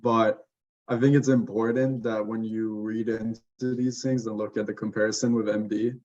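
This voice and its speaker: A young man from Sweden chats casually in an online session and fills his sentences with "like." His voice sits a little low and flat. The audio sounds dull and lo-fi. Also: normal speed. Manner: casual, with lots of "like" filler. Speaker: medical student and mentor. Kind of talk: casual webinar talk.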